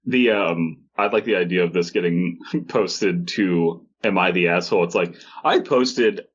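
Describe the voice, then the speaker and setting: Male co-host, husky lowish voice, hesitant casual riffing with a joking bent. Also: measured pace. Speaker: podcast co-host. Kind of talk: casual comedic riffing and reading aloud. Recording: dull lo-fi microphone sound.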